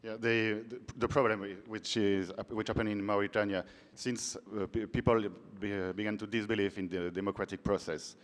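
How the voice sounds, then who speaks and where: A man interpreting on a conference panel, halting, with many restarts and fillers. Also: lowish voice, steady tone. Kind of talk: consecutive interpreting. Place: large conference hall. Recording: long hall reverb.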